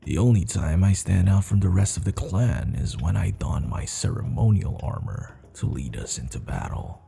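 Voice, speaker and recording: A low, heavily gravelled male warchief acted by a man in an ASMR roleplay. He is proud and commanding with a teasing edge, taking his time over formal, measured words, and his pitch moves expressively. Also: animated, warm tone, soft background music, full character voice. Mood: proud, amused. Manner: slow, measured, stern yet amused, formal phrasing.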